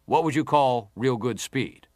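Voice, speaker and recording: An actor playing a formal, insistent senator who questions a witness deliberately in a dramatised hearing. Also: male voice, medium pitch, a little mellow, no room sound, clean studio sound, close to an everyday voice. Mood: probing, formal. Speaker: actor reading an examining senator. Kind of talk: dramatised reading of hearing testimony.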